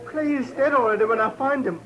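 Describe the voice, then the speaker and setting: An actor playing a pleading, indignant German immigrant farmer in an old radio western, with halting broken English. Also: male voice, extremely high pitch, muffled recording, a crowd in the background.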